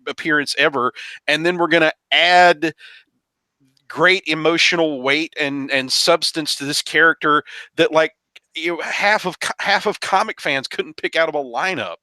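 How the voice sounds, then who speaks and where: A man on a podcast panel discussing films casually, haltingly, repeating phrases as he thinks. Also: a little high, pushed and bright, no room sound.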